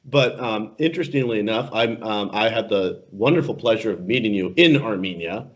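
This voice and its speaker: A man's voice: lowish voice, lively intonation, a little fast. Chatty young man hosting, laughing easily. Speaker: interviewer host. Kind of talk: casual interview. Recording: muffled lo-fi recording.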